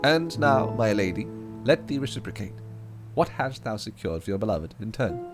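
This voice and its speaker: A man's voice: on the low side, sing-song, normal speed. A man doing a solemn fae wedding officiant. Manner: ceremonial, archaic address. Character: formal male wedding officiant at a fae court. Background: music.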